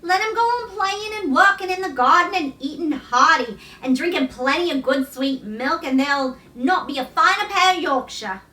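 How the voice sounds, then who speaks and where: A woman voicing a motherly, affectionate Yorkshire countrywoman in a storybook, speaking gently in homely dialect words. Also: high-pitched, normal speed, few pauses, reverberant, distant mic.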